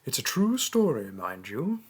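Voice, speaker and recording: A man playing a vain, haughty mage king in a theatrical audio roleplay, speaking with condescending pomp and a breathy voice. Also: mid-range voice, wildly swooping pitch, unhurried, darkish tone, exaggerated voice. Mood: grand, storytelling. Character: pompous, vain mage king, haughty toward his peasant subject. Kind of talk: theatrical roleplay monologue and storytelling.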